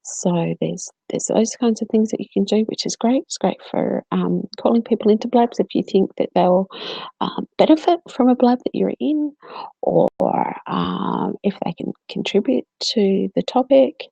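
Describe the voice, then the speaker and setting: A woman's voice: medium pitch, moderate pace, creaky, warm tone, breathy edge, dry recording. A woman from South Australia with a South Australian accent, co-hosting a casual live-stream Q&A and chatting to viewers, with plenty of ums. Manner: chatty, many ums, answers viewers by name. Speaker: co-host.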